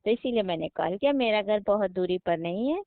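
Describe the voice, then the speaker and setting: An actress as a flirty woman customer in a casual phone role-play. She coaxes and persuades at an ordinary mid pitch. The sound is muffled and dry, like a recorded call. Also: lively intonation, a little fast, no echo, natural voice. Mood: chatty, persuasive. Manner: coaxing, persuasive. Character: coaxing, flirty woman customer phoning a henna artist. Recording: dull, lo-fi phone-call audio.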